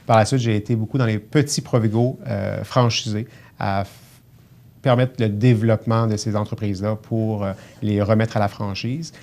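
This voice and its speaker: A middle-aged man from Abitibi speaks with a Québécois accent in a slightly low voice. He talks earnestly but haltingly, filling his pauses with hesitations as he explains his work. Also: steady tone, darkish tone. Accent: Québécois French. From Abitibi, Quebec. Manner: earnest, many hesitations and "euh" fillers. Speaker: grocery store general manager, guest. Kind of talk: casual TV interview.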